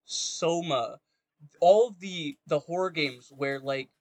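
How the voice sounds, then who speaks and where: A man's voice: on the high side, slow, darkish tone, no echo. A man in a casual, argumentative chat about games, speaking emphatically and swearing freely. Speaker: podcast co-host.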